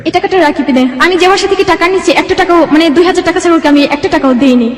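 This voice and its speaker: Fast-talking, high-pitched Bangladeshi woman. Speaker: guest telling own life story. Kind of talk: personal storytelling in an interview. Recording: echoey room with long reverb.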